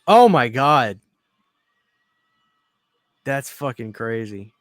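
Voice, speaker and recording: Man, 30s, podcast co-host. Excitable, profane, muttering asides. Dry, close sound. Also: drawn-out words, no room sound. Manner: excitable, swears a lot, drops to low mutters. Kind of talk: casual chat.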